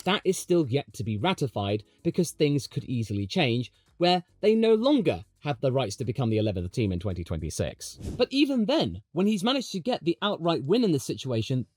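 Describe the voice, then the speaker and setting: Animated man's commentary, higher-pitched voice. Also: no echo, studio quality. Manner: sarcastic, theatrical, mimics people he quotes. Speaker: video commentator. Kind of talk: opinionated scripted commentary with casual asides.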